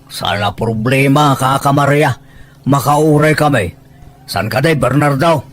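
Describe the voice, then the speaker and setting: A man's voice: mid-range voice, unhurried, soft background music, close to an everyday voice. An actor playing a teasing, bossy older village man who coaxes like a matchmaker in a rural audio drama. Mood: friendly.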